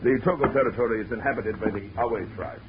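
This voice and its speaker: An actor playing a pompous, menacing big-game hunter villain in a 1940s radio drama. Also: male voice, mid-range voice, quick pace, close to an everyday voice. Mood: scheming. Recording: muffled old radio recording with hiss.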